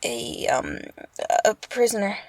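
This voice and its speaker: A woman's voice: medium pitch, wildly swooping pitch, slow, dry recording, heavily acted. A woman playing a timid, polite young lady rescued from captivity, hesitant and deferential, in an audio roleplay.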